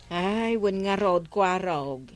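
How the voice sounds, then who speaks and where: A woman's voice: fairly low pitch, expressive, takes it slowly, over loud music. A woman acting a suspicious, scolding village customer with an Ilocano accent and blunt, nagging delivery in a comedy radio drama. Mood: disapproving.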